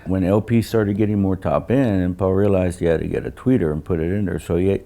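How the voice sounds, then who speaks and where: A man's voice: low-pitched, fairly even intonation, brisk, mellow. A middle-aged or older man talking casually as an engineer, explaining through anecdotes and often restarting his phrases. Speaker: veteran loudspeaker engineer. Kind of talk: casual technical conversation.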